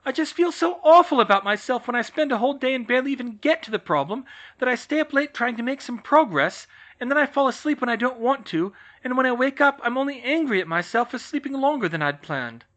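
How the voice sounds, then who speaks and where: A narrator voicing a distraught, overworked young witch who shouts and breaks down. The voice is high, strained and loud, in a storybook reading. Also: expressive, quick pace. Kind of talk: audiobook reading of fan fiction. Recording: slightly muffled recording.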